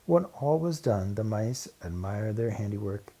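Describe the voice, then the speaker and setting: A man reading a children's storybook aloud in an expressive narrating style. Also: lowish voice, measured pace. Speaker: storybook reader.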